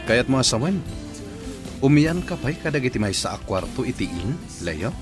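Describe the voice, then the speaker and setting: A man's voice: medium pitch, very animated, normal speed, music in the background, lightly acted. A man playing an evasive middle-aged husband and father in an Ilocano radio drama, hesitant and placating.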